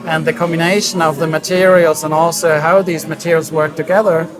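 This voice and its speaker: Guy being interviewed, kinda high voice, halting and thoughtful explaining, crowd chatter all around. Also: flat delivery, low-quality recording. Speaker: design director being interviewed. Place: busy hi-fi trade show hall.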